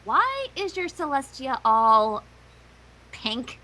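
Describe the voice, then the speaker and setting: Woman as a high, hesitant bookish unicorn mare. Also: very animated, slow, sharp tone, exaggerated voice, low-quality recording. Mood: puzzled. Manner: hesitant, questioning. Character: bookish, puzzled young unicorn mare from cartoon.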